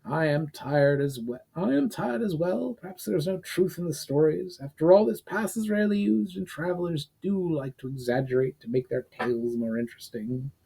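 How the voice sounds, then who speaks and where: A man's voice: fairly high pitch, moderate pace, creaky, hardly any pauses, dark tone, husky, voice pushed up, low-quality recording. A man voicing an aged, stubborn and fearful archmage in a dramatic fantasy reading. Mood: resigned. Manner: insistent, note of panic.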